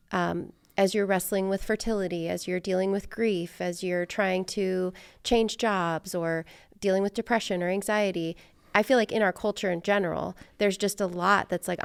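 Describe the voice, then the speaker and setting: Podcast host, middle-aged American woman. Conversational and reflective, smooth voice with noticeable creak. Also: mid-range voice. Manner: informal, thinking aloud. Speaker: therapist.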